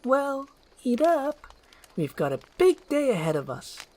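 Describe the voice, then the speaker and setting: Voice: high-pitched, big pitch swings, measured pace, darkish tone, voice pushed up. A performer voicing a sweet, courteous young princess in an acted screenplay reading. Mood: cheerful, apologetic.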